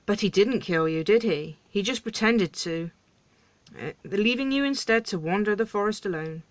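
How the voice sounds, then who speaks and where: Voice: medium pitch, animated, moderate pace, no echo, close to an everyday voice. A storyteller voicing a pompous, indignant king who hosts a tabloid talk show, theatrical and emphatic. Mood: pompous, probing.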